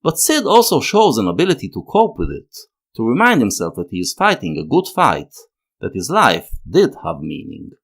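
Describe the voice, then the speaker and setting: A man narrating a scripted analytical video essay in a measured, expressive way. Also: medium pitch, gravelly.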